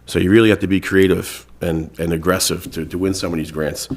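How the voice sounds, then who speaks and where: Low-voiced, stuffed-up man in his thirties, chairing a town meeting, loose and halting. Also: fairly even intonation. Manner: casual, filler-heavy, restarts phrases. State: congested. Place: town hall meeting room.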